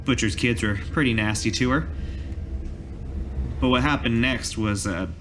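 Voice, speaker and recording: A man voicing a weary village cobbler in a tabletop roleplay, telling his story in a halting, folksy way. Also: medium pitch, noisy background, close to an everyday voice. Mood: somber, haunted. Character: weary male village cobbler recalling a massacre. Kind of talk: in-character tabletop roleplay monologue. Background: background music.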